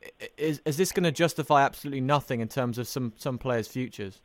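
A man's voice: medium pitch, fast, no room sound, studio quality. A man hosting a sports podcast, asking long, opinionated questions in a conversational way.

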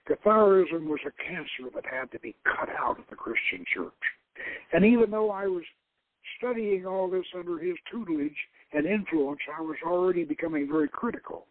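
A man's voice: high voice, normal speed, dry recording, natural voice. A man voicing an earnest, reflective young Lebanese woman telling her life story in a dramatic novel reading, over a narrow phone-like line. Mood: earnest, critical.

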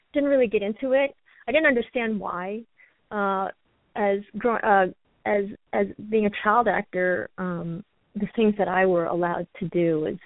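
An American woman, a native Chinese speaker, giving a conversational interview as a call-in guest, rambling haltingly and often repeating words. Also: medium pitch, gravelly, no room sound. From New York, United States. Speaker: guest, actress and martial artist. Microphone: telephone call. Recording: dry, narrow phone line.

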